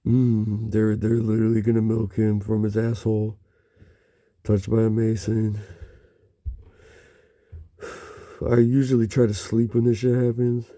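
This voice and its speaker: A man talking in a halting, rambling monologue, murmuring and pausing with frequent low hums. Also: little pitch movement, dark tone.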